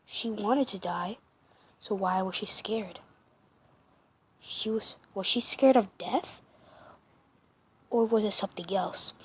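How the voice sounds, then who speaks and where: A woman narrating a fan story for her first amateur comic dub, halting with many restarts and self-corrections. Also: mid-range voice, breathy edge. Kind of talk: reading a fan story aloud.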